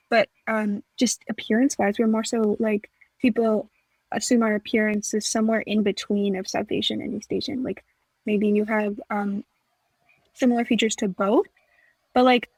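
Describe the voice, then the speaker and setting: A woman's voice: medium pitch, dry recording, rough recording. A young woman co-hosting a podcast, chatting casually with lots of fillers and acting out remembered conversations. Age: young adult. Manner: chatty, filler-heavy, acts out quoted exchanges. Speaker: podcast co-host. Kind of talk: casual chat.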